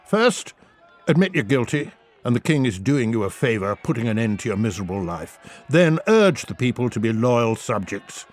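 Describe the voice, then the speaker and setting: A man acts out a blunt Tudor axeman for a comic audiobook. He is English and colloquial, darkly jovial, handing out grim advice as if it were routine, with a very lively, swinging pitch. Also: husky. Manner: matter-of-fact, advising, colloquial. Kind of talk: comic audiobook character reading.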